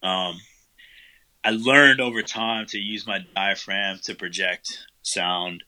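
A man's voice: on the low side, takes it slowly, rough recording. A man, the guest vocalist, answering interview questions casually, with lots of fillers and loose rambling. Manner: relaxed, rambling, many fillers.

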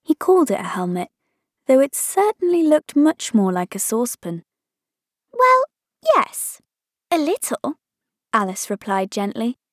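A woman narrating a children's story from a script with expressive delivery. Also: a little high, moderate pace, dry recording, studio quality. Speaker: volunteer audiobook reader.

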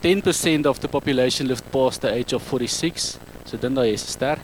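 A man in his thirties speaking English with an Afrikaans accent, giving a public talk on a handheld mic in a halting, self-correcting way. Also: mid-range voice, a little hiss or hum, poor audio. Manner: halting, self-correcting non-native English with frequent ums. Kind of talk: public talk to a live audience.

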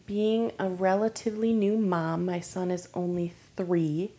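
A woman's voice: fairly low pitch, level tone, a little slow, warm tone. A woman in her thirties, originally from Michigan, chatting as a guest on a podcast in an upbeat way and laughing often. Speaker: guest musician. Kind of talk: casual interview chat.

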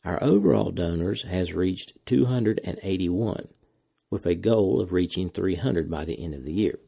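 A man hosting a podcast, reading announcements in a measured, deliberate way with casual asides, his voice cracking from a slight cold. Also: low voice, gravelly, mellow, husky. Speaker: podcast host.

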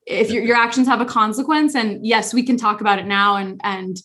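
A woman's voice: medium pitch, steady tone, fairly quick, fairly bright tone. A young woman hosting a podcast, talking casually and openly, with lots of filler words. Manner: chatty, frequent "like" fillers. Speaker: podcast host. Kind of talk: casual chat.

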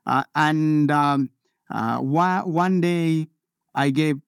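A man from Nepal, a mountaineer, answering interview questions in a casual, halting way with many fillers and restarts. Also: a little high, vocal fry, clear, resonant tone, no echo, clean studio sound.

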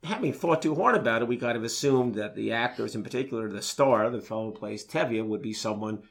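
A man with a gravelly, fry-tinged voice at an ordinary pitch, chatting casually as a co-host. He tells stories and gets lively and emphatic as they build. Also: fast talker. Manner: storytelling, animated when excited. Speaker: podcast co-host. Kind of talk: casual chat.

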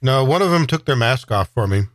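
A man's voice: medium pitch, animated, fairly quick, a bit bright. A man playing a tabletop game, casually chatting and describing what his character does.

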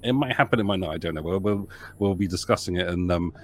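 A man's voice: low voice, quick pace. A man who is a producer and writer, guesting on a podcast over a video call, joking and rambling with frequent laughs. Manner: jokey, rambling, laughs a lot. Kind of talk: casual interview chat.

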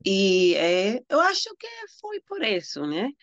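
A woman's voice: medium pitch, huge pitch swings, slow, no echo, highs cut off. A woman from the Dominican Republic, a native Spanish speaker, talking as a guest who is still learning and often searches for words. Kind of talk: casual interview.

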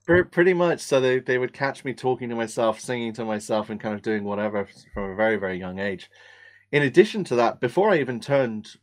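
A man's voice: mid-range voice, animated, fairly quick. An English man, a voice actor, answering interview questions in an easygoing, thoughtful storytelling way. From England. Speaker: voice actor guest.

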